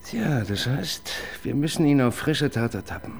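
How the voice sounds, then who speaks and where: An actor playing an eager, pedantic police assistant in a radio crime drama, prone to hesitant restarts. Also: male voice, mid-range voice, expressive, normal speed, creaky, slightly rough.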